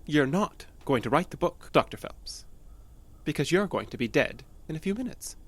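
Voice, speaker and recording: An actor in an audio drama playing an obsessive, unstable male patient with a halting, nervous, insistent manner. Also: on the high side, big pitch swings, a little hiss or hum. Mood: sly, menacing. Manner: halting, nervous, insistent, stammering under pressure.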